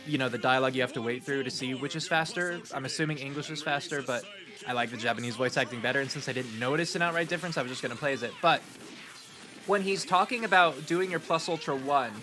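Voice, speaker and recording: A man with a stuffy nose giving relaxed, rambling commentary on his speedrun, with lots of ums. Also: medium pitch, fast talker, over music. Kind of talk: casual post-run gameplay commentary.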